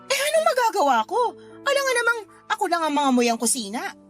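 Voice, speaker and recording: A woman with a Filipino accent playing a sassy, defensive, thrifty neighbour in a radio drama, expressive and sarcastic, over music. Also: very high-pitched, fast. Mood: defensive, annoyed. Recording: music bed under the voice.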